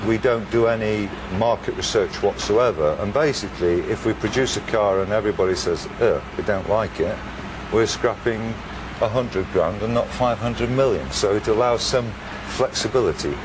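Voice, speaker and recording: A man in his fifties with an English accent, a company boss answering interview questions in a measured, understated way. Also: fairly low pitch. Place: indoor workshop, machinery noise behind. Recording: noisy workshop interview.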